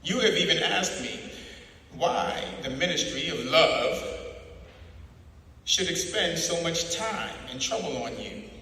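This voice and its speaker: A man performs a calm, cold party inquisitor from a dystopian dramatic reading. He lectures slowly in a bright, hard-edged voice. Every declaration is deliberate and commanding, ringing through a long hall reverb. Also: a little high, full character voice. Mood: cold, instructing.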